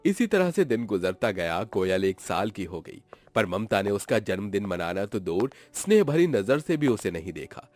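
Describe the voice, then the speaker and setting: A man's voice: lowish voice, very animated, normal speed, darkish tone. A man narrating a scripted story in a dramatic storytelling style. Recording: music behind the voice.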